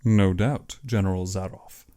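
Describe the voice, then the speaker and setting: Man playing low, earnest younger hunter, reserved. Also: fairly even intonation, a little slow, mellow. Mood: polite. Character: earnest, civilized younger male hunter, appalled guest. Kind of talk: audiobook character acting.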